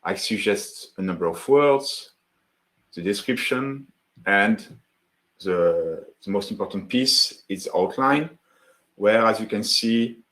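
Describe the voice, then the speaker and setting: A man from France with a French accent, hosting a live webinar as founder of a software tool, greeting viewers in the chat and demoing his workflow. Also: fairly low pitch, takes it slowly, low-quality recording. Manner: friendly, greets chat viewers by name, many fillers.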